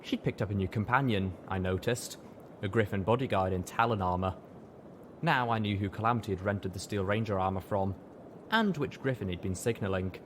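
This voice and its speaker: A man's voice: on the low side, a little fast, fairly bright tone, a little hiss or hum. A man reading a scripted story aloud as an audiobook narrator. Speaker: audiobook reader.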